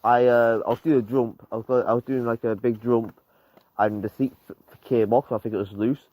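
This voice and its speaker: A man's voice: medium pitch, fairly even intonation, a little fast, darkish tone, no echo, rough recording. An eighteen-year-old man chatting casually outdoors in the snow, swearing and laughing as he rambles. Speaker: vlogger. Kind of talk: casual chat while out in the snow. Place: outdoors on a snowy road.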